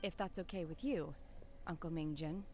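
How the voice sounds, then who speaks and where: Reserved young heroine, low and soft, measured and melancholy. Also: female voice, slight background noise. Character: reserved, solemn young woman returning to her home village.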